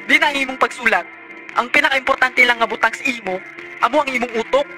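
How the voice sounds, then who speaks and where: A man's voice: very high-pitched, quick pace, pushed and bright, full character voice. A man playing a harsh, scornful father who berates his daughter in an amateur radio drama. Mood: angry, scornful. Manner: berating, emphatic. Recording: music behind the voice.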